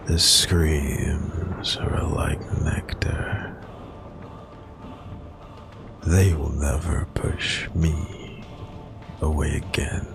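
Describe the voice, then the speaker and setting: An actor playing a gaunt, bitter, vengeful killer in a dark fantasy audio drama, gravelly and halting, with menace and sometimes a whisper. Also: male voice, very deep voice, exaggerated voice. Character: gaunt, near-skeletal male killer, bitter, wounded, vengeful.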